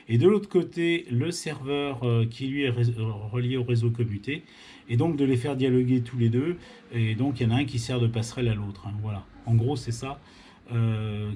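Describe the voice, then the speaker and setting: A man's voice: medium pitch, warm tone, a little hiss or hum. A man from France hosting an informal amateur radio tutorial, explaining technical things hesitantly and often repeating himself.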